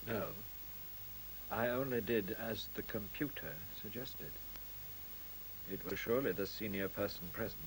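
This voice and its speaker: A man's voice: fairly low pitch, measured pace, pauses often, natural voice, rough recording. An actor playing a sober male computer scientist, restrained and matter-of-fact, in a 1970s radio play. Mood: defensive. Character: sober computer scientist mourning his creation. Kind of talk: 1970s radio drama acting. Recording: hissy 1970s radio recording.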